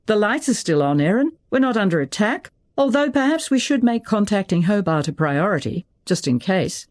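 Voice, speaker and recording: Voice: mid-range voice, sing-song, normal speed, voice pushed up, natural voice. Narrator acting out brusque, urgent woman official. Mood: decisive. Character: brusque, decisive woman official taking charge in crisis.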